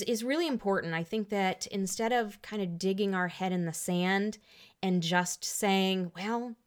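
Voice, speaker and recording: A woman hosting a podcast, talking in an earnest and reflective way. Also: mid-range voice. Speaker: podcast host. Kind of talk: casual interview talk.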